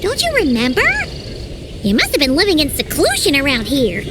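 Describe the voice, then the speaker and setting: A woman's voice: sits high, huge pitch swings, fairly quick, very noisy, heavily acted. A woman voicing a tiny floating female companion in a game, bubbly and chirpy, who talks about herself in the third person. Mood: chatty. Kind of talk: game voice acting.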